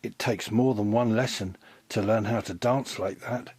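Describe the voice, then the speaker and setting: A man's voice: fairly low pitch, fairly even intonation, normal speed, darkish tone, slightly rough, no room sound. A man narrating a children's story from a script as a storybook reader.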